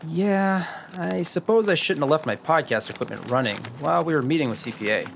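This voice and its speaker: A man's voice: a little high, brisk, creaky. A man playing an earnest, wry detective narrator in an old-time noir radio drama. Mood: earnest, unruffled. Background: music under the voice.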